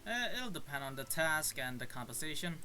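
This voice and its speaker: A man's voice: a little high, very animated, brisk, bright, forceful tone. A man giving casual, informal playthrough commentary as a game streamer, reacting as he goes. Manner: informal, muttered reactions with mild swearing.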